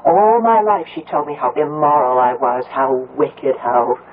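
A woman's voice: low-pitched, fairly even intonation, measured pace. An actress playing an anguished young woman haunted by her mother in a radio drama. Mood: bitter confession. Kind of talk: 1970s radio drama acting. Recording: muffled, tinny old radio broadcast.

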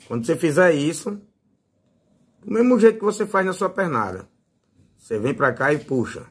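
A man's voice: fairly high pitch, lively intonation, moderate pace, breathy edge. A man with a Northeastern Brazilian accent giving a casual, informal hands-on tutorial as a fishing channel host. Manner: informal, fond of diminutives.

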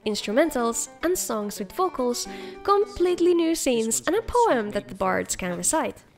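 Female game-showcase host: high-pitched, upbeat scripted voice-over, faint music bed. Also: sing-song, normal speed. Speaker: video host, game mod reviewer.

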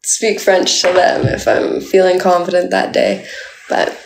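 A woman's voice: lowish voice, monotone, a little slow, gravelly, roomy sound, slight background noise, poor audio. A young woman from Canada chatting playfully with viewers on a livestream, full of "like" fillers, filmed on a phone held at arm's length indoors. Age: young adult. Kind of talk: casual live chat with viewers. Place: indoors, small room.

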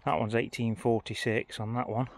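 A man's voice: mid-range voice, level tone, normal speed. A man giving casual, informal vlog commentary, thinking aloud as he walks outdoors. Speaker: travel vlogger. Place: outdoors, walking around a village churchyard.

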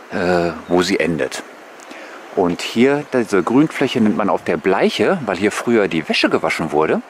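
A man giving relaxed, musing, casual commentary to viewers as a vlogger on a bike tour. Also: low-pitched. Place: outdoors on rural paths and waterside. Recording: noisy outdoor recording with passing vehicles.

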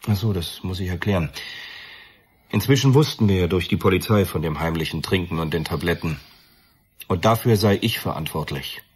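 An actor playing a bereaved, defensive middle-aged father, measured and earnest, in a radio drama. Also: low-pitched, breathy edge. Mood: regretful, bitter. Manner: measured, earnest, self-justifying. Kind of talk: German radio drama acting.

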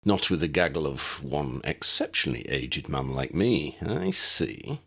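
A man's voice: very deep voice, sing-song, measured pace, gravelly, sharp tone, breathy edge, voice pushed down, heavily acted. A man voicing a very old, courtly wizard uncle in an audiobook, formal, pompous and wry. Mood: droll, pompous. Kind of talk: audiobook character voice.

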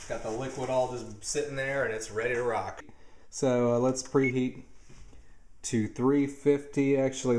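A laid-back man in his thirties rambles through a mock cooking show with dry, deadpan jokes. His mid-pitched voice bounces around a roomy home kitchen, with faint hiss behind it on the camera mic. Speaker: comedian hosting a home cooking video. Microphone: camera mic, handheld or nearby.

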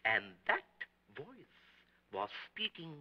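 A man playing an excitable, stubbornly certain middle-aged German restaurant owner in a 1930s film, with halting, emphatic delivery and a German accent. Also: a little high, heavily acted. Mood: earnest, insistent. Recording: muffled old 1930s film soundtrack.

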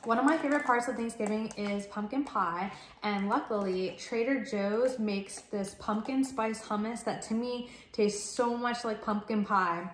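A woman's voice: a little high, unhurried, hardly any pauses. Chatty woman in an echoey home kitchen. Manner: chatty and upbeat, explaining as she works. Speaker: cooking vlogger. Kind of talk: casual step-by-step cooking demo. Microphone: fixed camera at table distance. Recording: echoey kitchen with faint noise.

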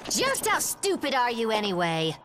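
A woman's voice: on the high side, huge pitch swings, moderate pace, fairly bright tone, a little hiss or hum. An actress playing a bratty, scornful, indignant girlish character in a video game. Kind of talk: English game voice acting.

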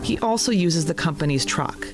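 A woman giving scripted documentary narration in a measured, explanatory way, with loud music underneath. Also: low voice, lively intonation.

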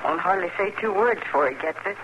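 A woman's voice: lowish voice, quick pace, natural voice. A woman playing a stoic, grieving farm widow with a rural American accent in a 1950s radio western, plain and restrained. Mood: numb. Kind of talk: 1950s radio drama acting. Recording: noisy old radio broadcast recording.

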